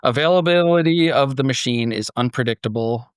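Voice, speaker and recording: A man with a medium-pitched voice hosts a panel podcast. He sounds conversational and easygoing in style, whether chatting or reading a story aloud. The recording is close and dry. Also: a little fast, smooth, no room sound. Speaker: podcast host.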